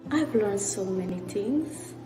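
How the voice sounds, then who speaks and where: A woman's voice: mid-range voice, animated, slow pace. A woman from Guyana with a Guyanese accent, giving a short testimonial. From West Coast Berbice, Guyana. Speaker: programme participant giving testimonial. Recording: echoey room under music. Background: music.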